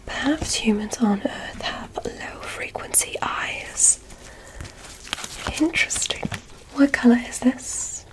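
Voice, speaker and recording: Woman as a slow whispering alien examiner. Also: a little high, poor audio. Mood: curious, gentle. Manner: soft, deliberate, clinical. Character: curious, polite alien examiner testing a human. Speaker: ASMR roleplay performer. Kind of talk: whispered ASMR roleplay.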